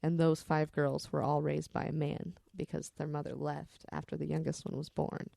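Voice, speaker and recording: A woman's voice: low-pitched, level tone, normal speed, gravelly, mellow, no room sound, clean studio sound. A woman podcast storyteller telling personal stories in a candid, rambling, salty-tongued way.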